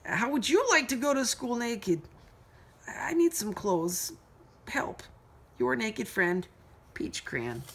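A bashful little storybook crayon played by a woman reading aloud, high in pitch and halting. It stammers with embarrassment and pleads timidly. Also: big pitch swings, a bit bright, husky, heavily acted. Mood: pleading.

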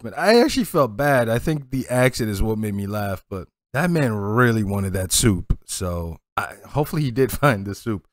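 A man in his forties with a Boston accent, hosting a casual reaction video, laid-back and laughing a lot, recorded on a headset boom mic at home. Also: no echo, studio quality. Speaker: reaction channel host. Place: home streaming setup, indoors.

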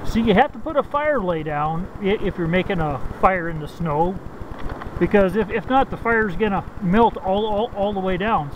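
A middle-aged man vlogging outdoors in snowy woods, talking to a handheld camera in a halting way, often repeating his words. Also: high voice, muffled recording, slight background noise. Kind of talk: casual bushcraft walkthrough. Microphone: handheld camera at arm's length.

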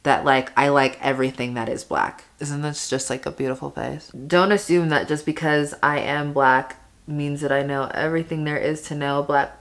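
A woman's voice: low voice, steady tone, normal speed. A young woman in her twenties chatting casually while doing her makeup at home, talking in a rambling way full of "like" fillers, close to the camera. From family from Panama, raised in America. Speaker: beauty vlogger. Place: bedroom at home, indoors.

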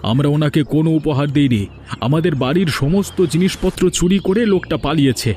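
Cartoon role: righteous village householder, male actor, highish voice, indignant and emphatic. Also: fairly quick, dark tone, slight background noise, natural voice. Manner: scolding, emphatic. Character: indignant younger village householder, a husband, stern and scolding. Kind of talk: cartoon voice acting.

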